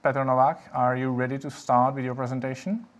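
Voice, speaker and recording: A man's voice: medium pitch, little pitch movement, a little fast, dull audio. A man chairing a conference session over a video call, polite and formal as he introduces the speaker.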